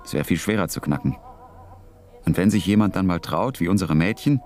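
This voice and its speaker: An actor playing a wry, dryly ironic British wartime intelligence officer in a radio drama. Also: male voice, low-pitched, fairly quick, a little mellow, soft background music, lightly acted. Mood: musing, ironic.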